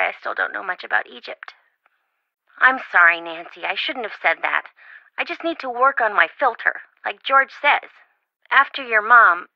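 A woman's voice: on the high side, gravelly, no room sound, muffled recording. A woman playing a chatty, flighty, well-meaning young best friend in a video game, rambling and blurting things out over-eagerly.

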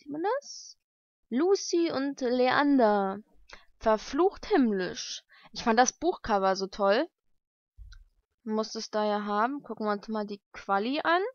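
A woman's voice: on the high side, expressive, slow pace, no echo. A young woman chatting casually and thinking aloud as she gives informal unboxing commentary at home. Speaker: vlogger unboxing mail-order books. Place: lived-in room at home, indoors. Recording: dull lo-fi home recording.

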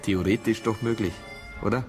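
A dogged Bavarian police detective acted by a man in a radio crime play. He has a low voice and talks in an insistent, colloquial way, full of suspicion. Music plays clearly behind him. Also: fairly even intonation, normal speed, lightly acted. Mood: speculating. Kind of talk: naturalistic radio crime drama.

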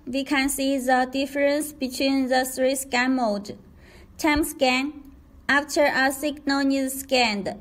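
High pitched lady reading out a how-to script, slow and careful. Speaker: product demonstrator. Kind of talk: scripted tutorial narration.

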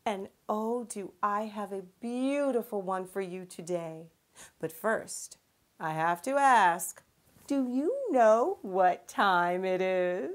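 A middle-aged woman hosting a children's storytime, speaking gently with deliberate, drawn-out phrasing. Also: mid-range voice, expressive. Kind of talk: gentle presentation to young children.

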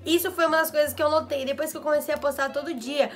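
A young woman vlogger, slightly hoarse from a cold, giving tips in chatty casual talk full of asides, filmed in her small bedroom studio. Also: high-pitched, fairly even intonation, soft background music. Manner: chatty, quick asides and fillers. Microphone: camera in front, head and shoulders framing.

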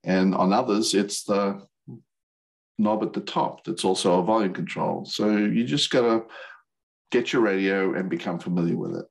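A man's voice: sits low, normal speed, vocal fry, poor audio. An older man giving an explanatory presentation over a video call in a plain-spoken, hesitant way. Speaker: presenter, licensed radio operator. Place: remote video call webinar.

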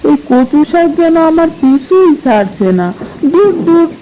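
A woman's voice: very high-pitched, animated, measured pace, mellow, a little hiss or hum, poor audio. A woman playing a sheepish, food-loving village husband in a cartoon folk tale, muttering and exclaiming to himself. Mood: sheepish grumbling.

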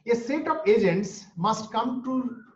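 A man's voice: extremely high pitch, animated, measured pace. A man with an Indian English accent, a native Bengali speaker, giving an online lecture and explaining each point twice. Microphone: webcam or laptop mic on a video call. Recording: roomy, dull video-call audio.

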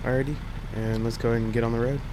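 A man's voice: medium pitch, little pitch movement, racing. A young man with a congested voice, casually commenting as he plays a trucking game, in an easygoing, rambling way. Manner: easygoing, rambling, thinks aloud. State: congested from a cold. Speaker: gaming channel host. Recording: loud truck engine game audio behind. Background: loud engine and road noise from the game.

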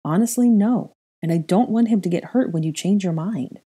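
A woman playing a protective, quick-tempered young mother in an audiobook, speaking earnestly and insistently. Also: mid-range voice, warm tone, breathy edge, natural voice. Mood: earnest, heated. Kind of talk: acted audiobook dialogue.

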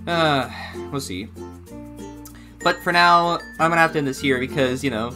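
A man's voice: fairly high pitch, moderate pace, bright, forceful tone, soft background music. Rambling young man chatting casually. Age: young adult. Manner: rambling, repeats and rephrases himself. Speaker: reaction video host. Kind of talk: casual reaction commentary. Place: small room indoors at home.

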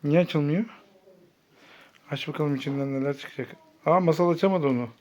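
A woman's voice: deep voice, fairly quick, darkish tone, highs cut off. A woman hosting a children's play vlog, talking casually to a child in short, repeated, exclamatory phrases.

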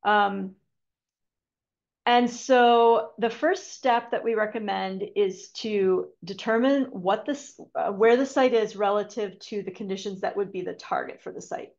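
A woman's voice: mid-range voice, a little slow, gravelly, darkish tone. A woman giving a conservation webinar talk over a video call, speaking hesitantly with many ums and restarts. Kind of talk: webinar lecture with slides. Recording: dull lo-fi video call audio.

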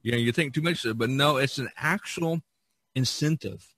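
A man's voice: mid-range voice, a little fast, a bit bright, dry recording. Rambling man in a close, dry recording. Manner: rambling, explanatory, punctuated with "you know". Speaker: artist on a podcast. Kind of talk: casual podcast discussion.

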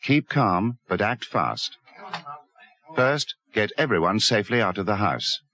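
A man's voice: on the low side, very animated, measured pace, pushed and bright. A man with a British accent narrating a scripted safety warning as an advert voice-over.

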